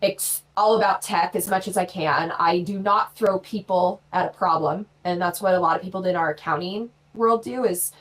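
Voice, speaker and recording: A woman in her forties talking as a podcast guest in a casual live chat, blunt, brash and quick to mock herself. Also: lowish voice, steady tone, poor audio. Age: 40s. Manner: blunt, brash and self-mocking.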